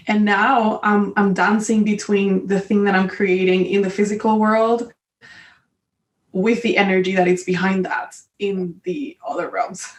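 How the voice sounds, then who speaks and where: A woman's voice: medium pitch, unhurried, reverberant, recorded from a distance. A Colombian woman with a Spanish accent, guest on a podcast, chatting openly and laughing often. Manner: warm, giggly, expressive. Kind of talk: casual conversation.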